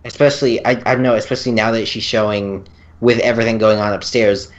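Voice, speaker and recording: A woman's voice: very deep voice, fairly even intonation, fast, highs cut off. A woman in a casual fan discussion on a podcast, talking in an earnest, hesitant, rambling way. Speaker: podcast co-host or guest.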